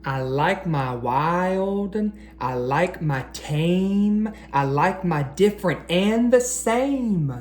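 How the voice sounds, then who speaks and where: A man reading aloud to children like an educator, with an expressive, encouraging manner that speaks straight to them. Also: high voice, takes it slowly. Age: adult. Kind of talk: animated children's read-aloud. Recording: roomy echo, faint background noise.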